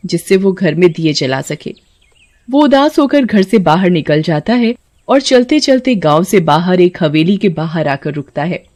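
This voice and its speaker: A woman's voice: medium pitch, mellow. A woman narrator reading a scripted children's story.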